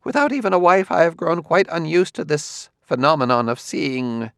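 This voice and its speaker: Male narrator putting on a fussy, squeamish older scholar; high, wavering, gravelly edges, dry close sound. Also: animated, moderate pace, no room sound. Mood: uneasy. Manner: high, shrinking, hesitant delivery. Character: nervous aging male sociologist, fussy and squeamish.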